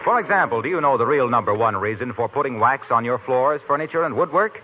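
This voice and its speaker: A male radio announcer with a lively, swinging medium-pitched delivery. He reads a scripted 1940s commercial in a polished sales manner, on a tinny recording. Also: quick pace, background noise.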